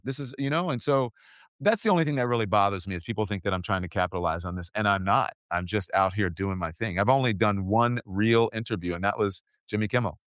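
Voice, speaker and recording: A man's voice: lowish voice, fairly bright tone, no echo, clean studio sound. A man hosting his own show, talking earnestly and reflectively in casual conversation, with many fillers and restarts. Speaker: podcast host.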